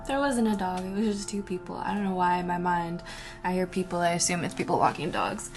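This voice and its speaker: A woman's voice: on the low side, fairly quick, faint music behind. A young woman answering viewer questions in a rambling, casual chat, breaking off mid-sentence and laughing between thoughts.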